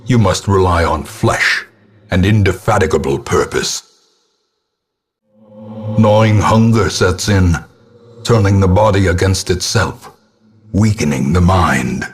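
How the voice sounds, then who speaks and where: A very deep, husky old ghostly ancestor, narrates a gothic horror game. The delivery is very slow, solemn and portentous, with ornate theatrical weight. The character sounds grim and ominous, as if presiding over a doomed estate. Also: male voice, expressive, soft background music, exaggerated voice. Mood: grim foreboding.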